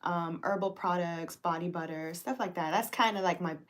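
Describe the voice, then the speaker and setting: Laughing low-voiced woman guest. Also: moderate pace, a bit bright. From Cuba, raised in Grand Cayman. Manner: laughs often, many fillers. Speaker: guest, business owner. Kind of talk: casual interview chat. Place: radio studio.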